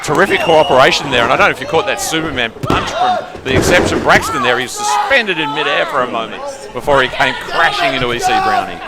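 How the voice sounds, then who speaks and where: Booming man calling wrestling. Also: fast talker, heavy background noise. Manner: excitable, theatrical play-by-play. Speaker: play-by-play commentator. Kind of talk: live wrestling commentary. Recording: loud crowd behind the commentary. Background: loud cheering crowd.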